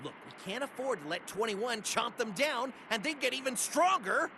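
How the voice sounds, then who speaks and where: High, scratchy, eager hero. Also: male voice, lively intonation, normal speed. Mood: eager, urgent. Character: eager, good-natured male hero fighter. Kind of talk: anime video game voice acting. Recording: noisy rumbling ambience behind.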